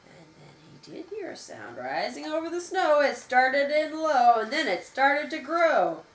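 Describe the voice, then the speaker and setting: A woman reading a children's bedtime story aloud at home, with expressive, theatrical narration. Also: sits high, unhurried. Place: room at home. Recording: roomy home recording with faint noise.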